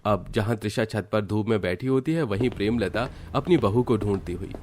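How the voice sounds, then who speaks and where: A man tells an animated story as its narrator. His voice sits fairly low and follows a written script. There is faint noise in the background. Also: fairly quick.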